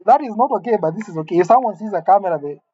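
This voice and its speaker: A man's voice: sits high, lively intonation, racing, mellow, highs cut off. A man in his late twenties musing aloud to the camera in a wry, rambling, self-mocking way, alone in an empty room. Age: late 20s. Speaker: vlogger. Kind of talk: musing solo monologue to camera.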